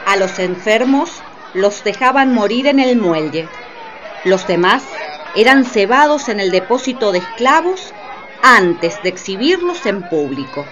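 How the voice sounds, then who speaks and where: A woman's voice: mid-range voice, big pitch swings, a little slow, dull audio, a crowd in the background, noisy background. A woman reading scripted dramatic narration for a radio play.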